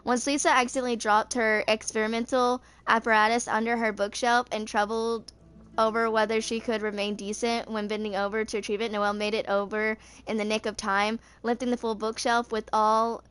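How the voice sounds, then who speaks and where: A woman's voice: a little high, little pitch movement, brisk, a bit bright, clean tone. A woman in her twenties reading video game character lore aloud, stumbling over words now and then. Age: 20s. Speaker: gaming video creator.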